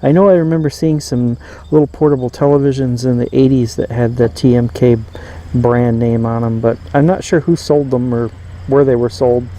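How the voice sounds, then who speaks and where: A man giving a casual, unscripted demonstration as a reviewer, pausing often and rambling a little as he goes. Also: medium pitch, steady tone, warm tone, rough recording. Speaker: vintage radio reviewer. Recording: clear background noise.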